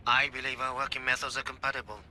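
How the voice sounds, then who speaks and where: A terse, measured ex-gangster. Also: male voice, mid-range voice, monotone, sharp tone, a little hiss or hum. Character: calm former gangster lookout, middle-aged man. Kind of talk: video game cutscene acting.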